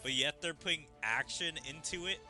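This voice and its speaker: A man doing casual gaming commentary as a streamer, with an exasperated, animated delivery over game music. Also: a little high, normal speed. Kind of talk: casual game playthrough, reading dialogue aloud.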